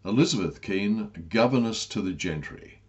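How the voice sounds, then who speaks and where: A self-possessed young governess from a comic Georgian tale, acted out by a male reader. The delivery is formal, crisp and ready to bristle, on a slightly dulled recording. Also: lowish voice, lively intonation, moderate pace, lo-fi sound, natural voice. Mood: assertive. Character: prim young governess, bold, indignant, self-possessed woman. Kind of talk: comic Georgian storybook dialogue.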